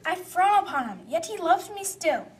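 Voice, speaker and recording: A woman's voice: very high voice, very animated, normal speed, slight background noise. A woman playing a lovestruck young woman in an amateur puppet-show Shakespeare play, with theatrical, emphatic delivery. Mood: scornful. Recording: distant amateur recording.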